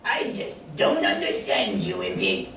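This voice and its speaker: A man playing a gruff, good-natured cartoon sailor who mutters and stammers in salty talk, in an old-time radio comedy style. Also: low voice, huge pitch swings, unhurried, sharp tone, full character voice. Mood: muttering, puzzled. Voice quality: gravelly. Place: playhouse stage before a live audience. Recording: echoey hall from far off, faint noise.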